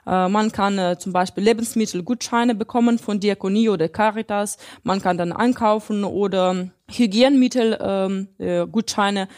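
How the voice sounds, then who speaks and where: A woman's voice: medium pitch, steady tone, normal speed, few pauses, darkish tone. Earnest woman. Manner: hesitant, many fillers, earnest. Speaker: interviewee, interpreter. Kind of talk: casual interview answers.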